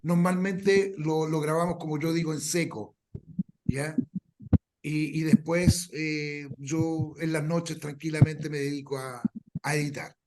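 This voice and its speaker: Man, talks slowish and halting, explaining stuff at a workshop, bit of background noise. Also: a little high, flat delivery, darkish tone. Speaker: radio drama producer leading a workshop. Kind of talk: informal explanatory talk.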